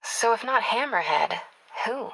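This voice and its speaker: An American actress playing a young woman reporter in a video game, caring, wry and quick-witted, in natural conversational dialogue. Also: fairly high pitch, animated, vocal fry, fairly bright tone, dry recording, exaggerated voice, poor audio. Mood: earnest.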